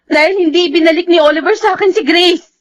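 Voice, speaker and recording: Casting: distraught young mother, extremely high tearful voice, radio melodrama. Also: brisk. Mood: anxious. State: crying. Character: anguished, distrustful young mother searching for her child. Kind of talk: Filipino radio melodrama acting.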